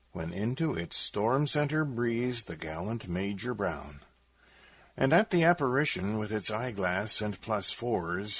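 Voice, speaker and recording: A man with a theatrical, expressive delivery, introducing and reciting comic narrative verse as a radio host. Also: fairly low pitch.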